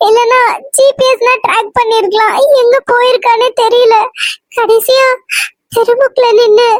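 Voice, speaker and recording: A woman's voice: extremely high pitch, no echo, pitched above the performer's own voice. A woman voicing a frightened young woman who is crying and pleading on a phone call. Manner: tearful, pleading.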